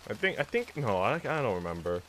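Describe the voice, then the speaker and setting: A man's voice: fairly low pitch, sing-song, fairly quick, fairly bright tone. A man on a live stream, reading visual novel text aloud and chatting casually with viewers. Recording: noisy mic with typing sounds.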